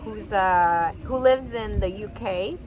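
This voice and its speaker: Young female host, native Spanish speaker, loose chatty delivery; clear street noise under the voice. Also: medium pitch, slow pace, a little mellow. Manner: chatty, many fillers like "like" and "you know". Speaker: podcast host. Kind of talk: casual interview chat.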